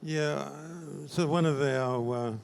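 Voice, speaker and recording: An older man answering as a company founder in an interview, speaking in a halting, measured way. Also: medium pitch, steady tone, vocal fry, clean tone. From Australia. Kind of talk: conversational business interview. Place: interview lounge at a trade show. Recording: faint background ambience noise.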